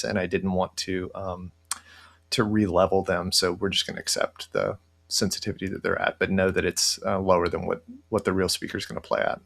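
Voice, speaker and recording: A middle-aged man with a low voice and plenty of fry walks through software on a screen share. His delivery is casual and halting, as he thinks aloud, and stays within a fairly flat pitch range. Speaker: software tutorial presenter. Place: indoor room, webcam screen recording.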